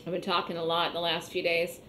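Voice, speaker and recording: A woman with a slightly hoarse voice teaching an online trading class, explaining step by step and repeating points conversationally. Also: lowish voice, monotone, fast, a bit bright, a little hiss or hum. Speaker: trading instructor. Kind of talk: online trading webinar lecture.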